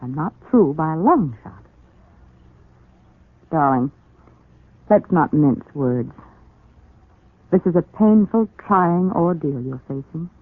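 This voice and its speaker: An actress playing a devoted, firm young wife in a 1940s radio soap opera, earnest, insistent and affectionate. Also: female voice, low-pitched, lively intonation, slow pace, pauses often, slightly rough, full character voice. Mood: firm, reassuring. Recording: muffled, echoey 1940s radio broadcast recording.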